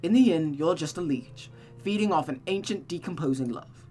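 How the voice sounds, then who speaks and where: A woman's voice: low-pitched, lively intonation, normal speed, dark tone. A woman plays a cursed, tormented man from a visual novel. He is proud and contemptuous, sneering bitterly and dramatically over background music. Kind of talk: visual novel character read-aloud.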